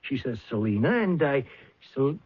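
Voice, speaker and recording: An actor playing a shabby, evasive showbiz agent in a 1970s radio drama, stammering and wheedling in streetwise New slang. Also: male voice, medium pitch, big pitch swings, moderate pace, breathy edge. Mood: flustered, evasive. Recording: dull old radio recording.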